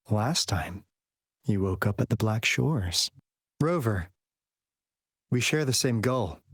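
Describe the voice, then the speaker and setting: An actor playing a breezy young man, a secretive consultant in an anime game, smooth-talking, playful and theatrical. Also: on the low side, dry recording, studio quality.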